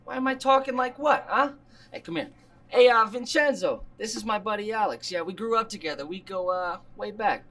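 An actor with an American accent playing a defensive, swaggering brother with worry underneath, in a naturalistic drama. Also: male voice, high voice, brisk, fairly bright tone. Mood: showy, hearty. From Virginia, United States. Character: defensive adult brother putting on swaggering Italian airs, worried underneath. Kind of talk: naturalistic film dialogue.

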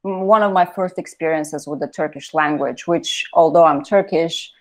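A woman in her thirties from Bulgaria, reading her own poems in a soft, measured, lyrical way, on a video call from a room at home. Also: low-pitched. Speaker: poet reading her work. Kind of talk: poetry reading. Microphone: video call, laptop camera.

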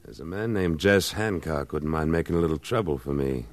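A man's voice: extremely low pitch, animated, a little fast. A man acting a tough, commanding trail boss in a 1950s radio western, terse and authoritative. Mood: resolute.

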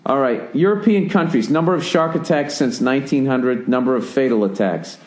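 A man's voice: mid-range voice, moderate pace, a little mellow. A man in his forties with an American accent, ranting and telling stories as a podcast host in casual chat. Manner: rambling, profane, ranting storyteller. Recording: roomy, echoey recording.

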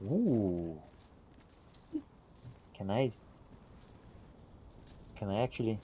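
A man's voice: lowish voice, sing-song, drawn-out words, mellow, lo-fi sound, a little hiss or hum. A man playing a fantasy role-playing game, talking in character to his companions as he comments. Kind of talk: improvised in-character gameplay commentary.

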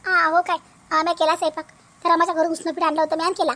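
A very high, fast-talking village wife acted by a woman speaks rustic Vidarbha Marathi. She is shrewd, practical and aggrieved, a scolding mother from a comic family cartoon. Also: flat delivery, bright, forceful tone. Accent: rural Vidarbha Marathi.

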